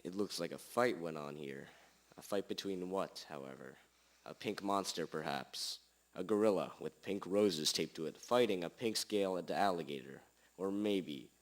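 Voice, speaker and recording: Self-important small-town detective, deep-ish male actor, dramatic pauses, hobby radio drama, rough sound. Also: a bit bright. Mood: musing. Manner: dramatic pauses, theatrical declarations. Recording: rough amateur recording.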